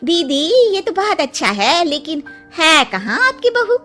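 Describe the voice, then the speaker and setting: Woman playing contented mother-in-law, very high and bright, sweet, chatty, storybook drama. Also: sing-song, measured pace, faint music behind, heavily acted. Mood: cheerful, chatty. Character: cheerful, contented middle-aged mother-in-law, younger sister-in-law.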